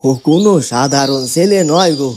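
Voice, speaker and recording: A humble cartoon woodcutter, played by a man, talks in a slightly high voice, homely and earnest. Birdsong and clicks are clearly audible behind him. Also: moderate pace, noisy background, natural voice. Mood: proud, tender. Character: humble poor woodcutter, loving husband and proud father. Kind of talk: cartoon voice acting.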